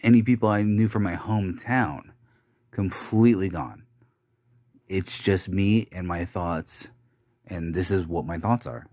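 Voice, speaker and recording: A young man with a low, deep voice talks alone into the mic in a bitter, rambling confessional. He swears often and stumbles through restarts and fillers. The recording is dry and close, with no room sound. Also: darkish tone, dry recording. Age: young adult. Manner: halting, with many restarts and fillers. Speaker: podcaster. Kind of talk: confessional monologue.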